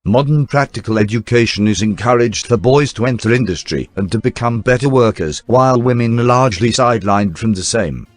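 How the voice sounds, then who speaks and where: A man reading scripted newsreel documentary narration in a formal, measured announcer style. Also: mid-range voice, no room sound. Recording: dry voice-over with faint music bed. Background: faint background music.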